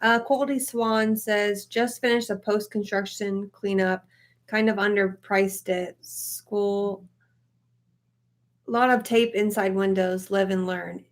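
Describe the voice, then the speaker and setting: A woman's voice: mid-range voice, little pitch movement, slow pace. A woman in her thirties co-hosting a casual live question-and-answer chat, speaking in a blunt, informal way. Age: 30s. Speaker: co-host of a trade channel.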